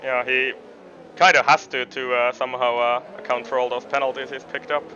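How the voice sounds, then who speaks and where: A man with a Finnish accent co-commenting on an online racing league in a relaxed, chatty way, pausing on fillers. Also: mid-range voice. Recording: slightly dull sound with clear background noise.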